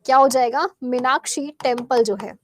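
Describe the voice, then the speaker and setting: A woman teaching a live exam-prep quiz class with a Bihari Hindi accent, chatty and asking questions she then answers, her throat sore and cleared often. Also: high-pitched, fairly even intonation, normal speed, slight background noise.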